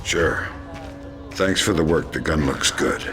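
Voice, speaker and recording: Covert game operative, rock-bottom pitch, dry wit, clipped delivery, heavy music behind. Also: male voice, measured pace. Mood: terse, businesslike. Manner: dry, sardonic, terse. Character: gravel-voiced middle-aged covert agent, dry and sardonic. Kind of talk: video game cutscene acting.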